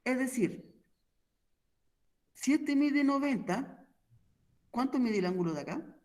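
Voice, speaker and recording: A man's voice: very high voice, expressive, slow pace, a little mellow. A man explaining an online math lesson, patiently walking through each step and checking in often with his listeners. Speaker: math teacher.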